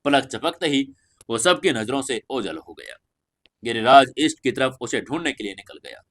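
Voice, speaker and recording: A man's voice: medium pitch, big pitch swings, normal speed, fairly bright tone. A man reading a scripted fantasy audio story as narrator, with emphatic, dramatic storytelling delivery.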